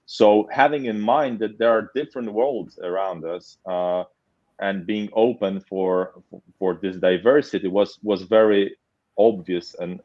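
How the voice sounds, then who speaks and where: A man from Poland with a technical background, speaking as a webinar panel guest in a halting way, often repeating words. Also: deep voice, no echo. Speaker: panel guest, tech company leader. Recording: dull, dry sound.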